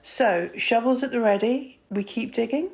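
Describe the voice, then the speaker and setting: A woman playing a composed, caring and wry academic doctor in an audio drama, speaking in a proper, measured, teasing way. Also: on the high side, lightly acted, rough recording. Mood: wry, calm.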